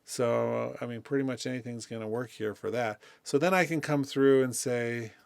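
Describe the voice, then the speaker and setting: Casual man walking through music software, dry sound. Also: mid-range voice, steady tone, moderate pace, vocal fry, clear, resonant tone, no echo. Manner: thinking aloud, conversational. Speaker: music software tutorial host. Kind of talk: casual unscripted software demonstration.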